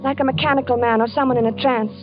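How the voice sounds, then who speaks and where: Actress as anxious wife-narrator, higher voice, muffled old radio with music. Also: female voice, fairly even intonation, quick pace, natural voice. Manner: anxious, earnest storytelling. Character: worried young wife narrating her story. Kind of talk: 1940s radio acting.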